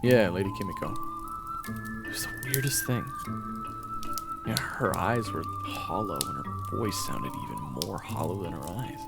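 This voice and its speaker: A man's voice: low-pitched, expressive, normal speed, creaky, pauses often. A man voicing a married swordsman from northwest Europe, flustered and wary, stammering through nervous restarts, with music playing behind. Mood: uneasy. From Orleans, northwest Europe. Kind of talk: audio roleplay acting.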